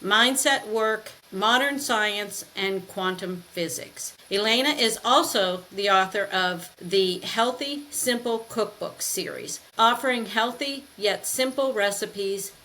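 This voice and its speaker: A woman real estate agent co-hosts a podcast, reading the intro and the guest's bio steadily from a script. Her pitch is middling for a woman. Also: takes it slowly, few pauses, bright, forceful tone.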